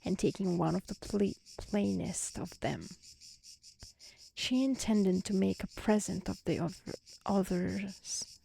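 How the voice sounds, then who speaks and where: A woman reading a fairy tale aloud as soft-spoken ASMR, calm, gentle and soothing. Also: medium pitch, slow, creaky, husky, low-quality recording. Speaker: storyteller. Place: outdoors in nature.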